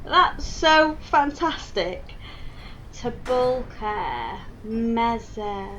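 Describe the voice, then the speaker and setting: A woman's voice: fairly high pitch, expressive, very slow, fairly bright tone, heavily acted. A young woman acting an opinionated character in an intense personal conversation in an audio drama. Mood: engaged, insistent. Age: young adult. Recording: dull home recording with background noise.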